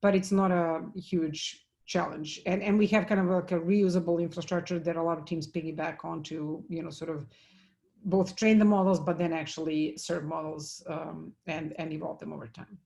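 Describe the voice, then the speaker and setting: Halting woman giving a tech talk. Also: sits low, steady tone, creaky, darkish tone. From Serbia. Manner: halting, many fillers and restarts. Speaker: conference speaker. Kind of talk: technical talk with Q&A.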